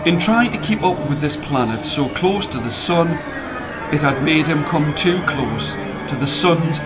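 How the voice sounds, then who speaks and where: A man reading a story as a storyteller, expressive and dramatic, drawing out the ends of phrases. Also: fairly high pitch, poor audio. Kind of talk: expressive scripted story narration.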